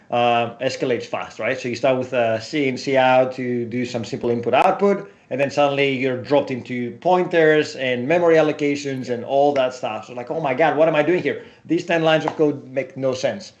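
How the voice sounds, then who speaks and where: A man in his forties whose first language is Greek, a guest telling his career story in a casual interview with animated asides. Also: mid-range voice, highs cut off. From Europe.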